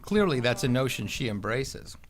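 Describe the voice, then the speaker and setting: A man narrating a scripted news feature in a measured, even delivery. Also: medium pitch. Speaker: reporter narrating. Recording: voice-over with music bed.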